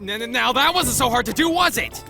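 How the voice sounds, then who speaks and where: A woman playing a hot-headed, excitable teenage schoolboy in an anime dub, indignant and exclamatory, with a gravelly edge. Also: on the high side, a little fast, over music.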